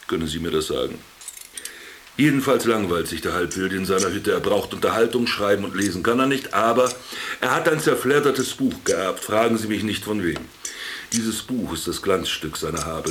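A lowish male voice plays a wry, worldly man stranded in a remote camp. He talks in an ironic, condescending radio-drama manner, fond of hearing himself explain things. Some background ambience sits behind the voice. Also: a bit bright, a little hiss or hum. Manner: ironic, patronizing, addresses the other formally. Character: Philipp, stranded ex-businessman, wry, garrulous, cynical camp companion.

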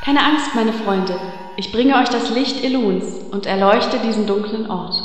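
A woman voicing a vain, haughty female elf priestess in a parody audio drama, prim and quick to curse. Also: a little high, faint music behind, lightly acted. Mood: solemn. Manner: prim, quick-tempered, bursts into cursing. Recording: echoey room reverb.

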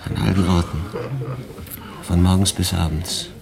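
A man's voice: low-pitched, little pitch movement, measured pace, vocal fry, crowd noise. An actor playing a guarded male refugee who gives terse, curt replies in a radio drama.

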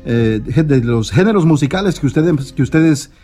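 Eager male radio host, bit high-pitched, halting delivery with fillers. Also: lively intonation, mellow, faint music behind. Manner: enthusiastic, hesitant, many fillers. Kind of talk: radio interview.

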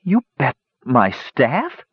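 A man's voice: medium pitch, wildly swooping pitch, extremely slow, slightly rough, no room sound, highs cut off, voice pushed up, full character voice. A man voicing an earnest, fragile young mage in a fantasy audiobook, with strained, shaky speech. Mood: shocked, shaken.

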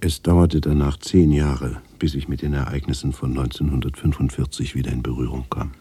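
An actor playing a sober, middle-aged doctor who recalls his past in measured, reflective radio drama narration, with a husky, breathy voice. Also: a bass voice, little pitch movement, mellow, no room sound. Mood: reflective, subdued. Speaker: first-person narrator.

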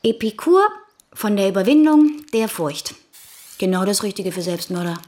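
A woman's voice: mid-range voice, expressive, moderate pace, a little mellow, lightly acted. An actress playing an eager, chatty, slightly scatty female accomplice in a radio drama.

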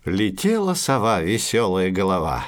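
A man telling a children's fairy tale as an expressive storyteller. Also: sits low, normal speed, faint music behind.

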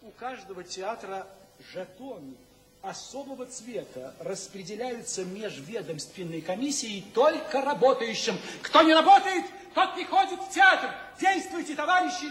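An actor playing a fanatical revolutionary theatre director in a radio drama, declaiming theatrically in exclamations. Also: male voice, extremely high pitch, a bit bright, exaggerated voice. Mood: hushed, official, then fanatical, exultant. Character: fiery avant-garde theatre director, a fanatical revolutionary man. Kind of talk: stage-style radio drama acting. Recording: echoey hall reverb, faint noise.

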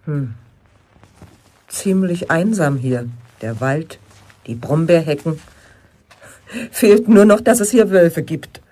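A woman's voice: low voice, lively intonation, slow pace, pauses often, warm tone, heavily acted. An actress playing a polite, mildly curious woman visiting from outside, speaking in an understated, musing way in a radio play. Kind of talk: German radio play dialogue.

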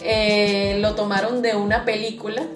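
A woman's voice: medium pitch, little pitch movement, normal speed, roomy sound, music in the background. A woman chatting casually as a podcast co-host. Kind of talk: casual chat about television.

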